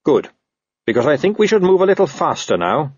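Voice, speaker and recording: A man's voice: fairly high pitch, big pitch swings, brisk, slightly rough, no room sound, lo-fi sound, voice pushed up. A man voicing a mild, courteous, unflappable watchman in an audiobook, speaking politely and levelly. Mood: level, restrained. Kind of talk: audiobook character voice.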